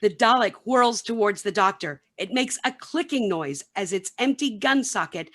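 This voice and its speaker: A woman's voice: medium pitch, expressive, moderate pace, fairly bright tone. A woman reading scripted narration for a radio play, with some background noise.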